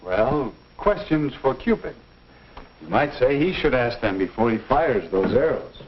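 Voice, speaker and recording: An actor playing a genial, earnest minister counseling young people in an avuncular, folksy 1950s instructional film style. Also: male voice, medium pitch, very animated, slightly rough. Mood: earnest, advising. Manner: avuncular, measured, folksy lecturing. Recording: dull 1950s film soundtrack.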